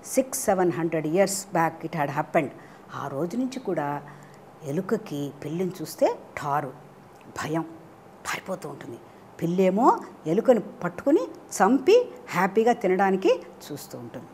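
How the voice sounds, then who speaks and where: A woman telling a children's story in an animated way, often questioning her listeners and mixing in English phrases. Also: fairly low pitch, unhurried, pauses often, slight background noise. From India.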